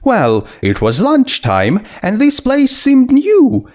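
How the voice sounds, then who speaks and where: A man voicing a cheerful, polite young female skeleton pony with a light, energetic voice. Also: takes it slowly, heavily acted. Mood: chirpy, polite. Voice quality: high, light. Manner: bubbly, full of energy.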